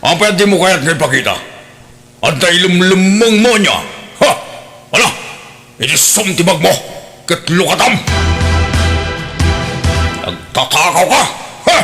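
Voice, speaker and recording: A man's voice: fairly high pitch, sharp tone. A man playing a stern, easily exasperated household boss in an Ilocano radio drama, scolding emphatically with a Filipino accent. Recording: long hall reverb.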